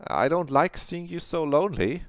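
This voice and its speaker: A man's voice: fairly high pitch, expressive, moderate pace, no echo, studio quality, pitched above the performer's own voice. A man putting on a stern, caring woman teacher. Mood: probing. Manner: disappointed, probing, scolding. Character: stern but caring middle-aged female teacher.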